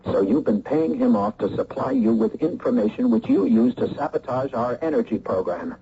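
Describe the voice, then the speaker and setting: An actor playing a wry, plain-spoken American agent in a radio mystery. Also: male voice, a little high, wildly swooping pitch, moderate pace, creaky, warm tone, heavily acted. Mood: wry, wary. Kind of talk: old-time radio mystery acting. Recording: muffled, hissy old radio recording.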